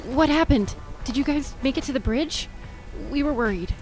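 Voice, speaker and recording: A woman's voice: high voice, lively intonation, normal speed, music in the background, low-quality recording. A woman playing a worried, cautious young hiker in an amateur audio drama.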